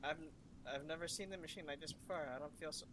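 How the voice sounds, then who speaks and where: A woman's voice: low-pitched, fast talker, slight background noise. A woman giving casual live commentary as she plays through a video game. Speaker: game streamer. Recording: loud game music behind voice. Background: video game music and dialogue.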